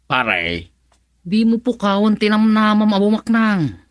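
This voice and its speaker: A man's voice: high voice, wildly swooping pitch, a little slow, no echo, clean studio sound, full character voice. An actor playing a joking male friend and drinking buddy who teases his pal, in a radio drama. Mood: teasing. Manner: teasing, laughs often.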